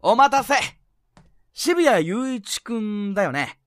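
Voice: medium pitch, big pitch swings, slow pace, no room sound, clean studio sound. An actor playing a cheerful, confident teenage male club president with a theatrical, friendly manner, in a youth voice drama. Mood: cheerful, breezy. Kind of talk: Japanese youth romantic comedy voice drama.

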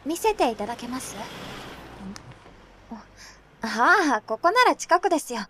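A woman playing a polite, pensive high school girl in an audio drama, reserved and hesitant. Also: very animated, unhurried, pushed and bright, heavily acted. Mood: helpful, polite.

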